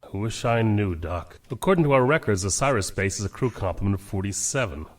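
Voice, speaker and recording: An actor playing an urgent, worried starship captain in a science fiction audio drama. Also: male voice, fairly low pitch, animated, fairly quick, recorded from a distance, natural voice. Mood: tense, businesslike.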